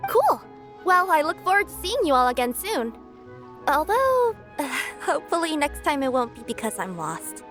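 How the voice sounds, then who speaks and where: A woman's voice: high-pitched, big pitch swings, moderate pace. A woman voicing an eager, polite young cat-spirit courier with a perky manner in a video game. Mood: cheerful. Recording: music playing behind the voice.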